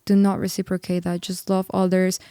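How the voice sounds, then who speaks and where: A woman's voice: fairly low pitch, completely flat delivery, a little fast, mellow, clean tone, no room sound, clean studio sound. A woman in her twenties, from Peru, a singer and worship leader talking in an earnest, upbeat way, with lots of "like"s, as an interview guest. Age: 20s. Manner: earnest, upbeat, filler-heavy with many "like"s. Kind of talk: casual interview chat.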